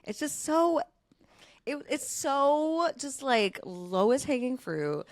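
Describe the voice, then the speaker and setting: Female podcast panelist, lively swooping intonation, emphatic and opinionated, dry close recording. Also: sits high, slow pace, no echo. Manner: emphatic, blunt, swears casually. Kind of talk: casual, opinionated chat.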